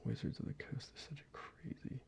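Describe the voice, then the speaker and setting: A man talking through his card collection in a soft-spoken ASMR video, mostly whispering in a relaxed, casual way with the odd swear word. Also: a little fast. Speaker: ASMR creator. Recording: slightly dull, faint background noise.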